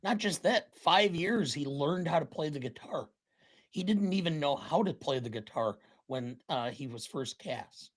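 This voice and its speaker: Slow, halting podcast co-host. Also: mid-range voice, lively intonation. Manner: halting, many ums and uhs. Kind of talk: casual chat.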